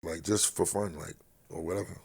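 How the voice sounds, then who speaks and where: A man's voice: low-pitched, fairly even intonation, fairly quick, gravelly, darkish tone, husky. A middle-aged man from Philadelphia with a big voice, talking bluntly and with profanity as a guest in a casual interview.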